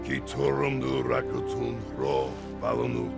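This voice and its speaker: An actor voicing a tormented orc in a video game, with guttural, strained, growling speech. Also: male voice, mid-range voice, big pitch swings, a little slow, full character voice. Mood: anguished, growling. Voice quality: very gravelly growl. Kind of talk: dramatic video game acting. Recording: loud music behind. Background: loud music.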